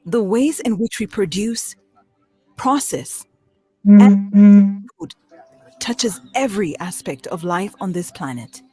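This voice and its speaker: Woman narrator, earnest and measured, faint music bed. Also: medium pitch, lively intonation, warm tone, breathy edge. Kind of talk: scripted documentary narration.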